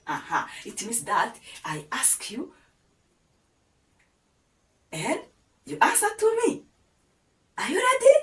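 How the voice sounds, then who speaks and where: A woman with a Rwandan accent teaching a language lesson, coaxing listeners to repeat with many prompts. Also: mid-range voice. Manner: patient, coaxing, many "yes?" and "aha" prompts. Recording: echoey room, voice a bit far from the mic.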